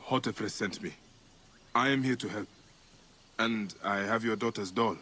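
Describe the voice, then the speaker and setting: Grave low-voiced warrior protector. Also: male voice, measured pace, pushed and bright. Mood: earnest. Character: solemn, determined Egyptian warrior protector, grown man, a father. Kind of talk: dramatic game cutscene acting.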